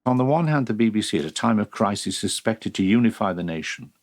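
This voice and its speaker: A man presenting current-affairs radio, putting points to a guest in a formal, carefully worded, deliberate way. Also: on the low side, expressive.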